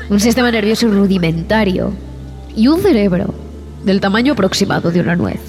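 An actress as a shaken woman scientist recounting horrors in an audio drama. Her delivery is deliberate and theatrical, with dramatic pauses and a fearful, repulsed temper. Long reverb surrounds the voice, and music plays behind it. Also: medium pitch, a little mellow, lightly acted. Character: frightened, repulsed female expedition zoologist recounting horrors. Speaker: narrator and lead actress.

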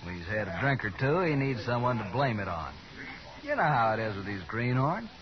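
A man's voice: mid-range voice, sing-song, fairly quick, natural voice. An American man acting a smug, troublemaking ranch foreman with a sneering, mock-innocent manner in a 1950s radio drama. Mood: sneering, dismissive. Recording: muffled 1950s radio recording, saloon crowd.

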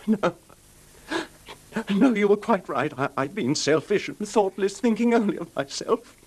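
An actor playing a fragile, nervous, genteel husband with a hesitant, mannered delivery in a radio drama. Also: male voice, high voice, lively intonation, unhurried, darkish tone. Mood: contrite, pleading. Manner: hesitant, stammering, mannered, formal. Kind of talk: old time radio drama acting. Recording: noisy old radio drama recording.

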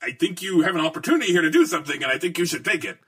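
A man's voice: on the high side, sing-song, rapid-fire, a bit bright, breathy edge, pitched above the performer's own voice, exaggerated voice. A man voicing a friendly robot ally with a put-on Brooklyn accent, eager to help. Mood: pleading.

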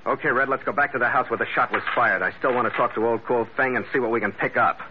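A man's voice: mid-range voice, quick pace, over loud music, natural voice. A man acting a cool, shrewd skipper turned detective in an old radio drama, with a clipped, wry, hard-boiled delivery. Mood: brisk, wry. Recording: muffled, noisy old radio recording.

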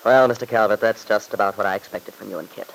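An unyielding young father in an old-time radio play. His voice is fairly low and he talks briskly, staying polite and earnest while holding firm. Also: steady tone, vocal fry, a bit bright, poor audio. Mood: defiant.

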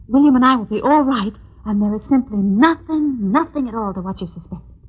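A woman's voice: on the high side, brisk, husky. A woman playing a proud, wary, wounded wife, speaking with insistent theatrical emphasis in an old-time radio melodrama. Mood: brisk, dismissive. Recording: dull lo-fi old radio recording.

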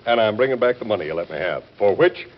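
A jaunty gambler who talks like an old salt. The voice is rough and husky, with a very animated swing. It is old-time radio drama with dull, muffled sound. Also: male voice, lowish voice, quick pace. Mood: jovial. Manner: nautical slang, blustery. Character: jaunty, salty male sailor-talking gambler.

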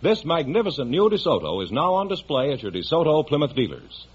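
A man's voice: on the high side, huge pitch swings, brisk, breathy edge. A man announcing a 1950s radio show in a grand, polished, formal manner. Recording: muffled old 1950s radio broadcast.

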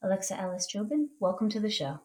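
A woman hosting a book podcast, reading a measured prepared introduction and then asking interview questions. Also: medium pitch, very animated, darkish tone, a little hiss or hum. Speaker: podcast host.

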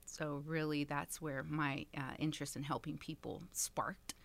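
A woman's voice: sits low, fairly even intonation, a little slow, gravelly. A woman in her thirties from Texas, a community center director, chatting as a guest with frequent laughs and fillers. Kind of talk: casual interview chat.

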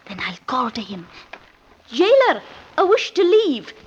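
A woman's voice: sits high, wildly swooping pitch, unhurried, exaggerated voice. An actress playing a young sweetheart helping a condemned man escape, in an old radio drama. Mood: tender, urgent. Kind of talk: 1950s radio drama acting. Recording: muffled, noisy old radio recording.

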